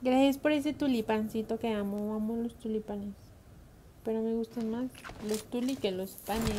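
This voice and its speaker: A woman's voice: a little high, fairly even intonation, moderate pace, dark tone, slight background noise. A young woman chatting playfully with viewers and teammates while streaming a mobile game. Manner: playful, thanks viewers, swears when frustrated. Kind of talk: casual live-stream chat while gaming.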